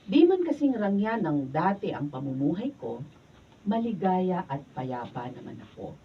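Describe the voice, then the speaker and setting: A woman's voice: on the low side, very animated, unhurried. A woman acting in a Filipino radio drama as an emotional wayward daughter, with a Filipino accent and melodramatic delivery. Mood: calm, reflective. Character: Myra, anguished wayward daughter, later repentant and at peace. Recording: distant mic, dull noisy room recording.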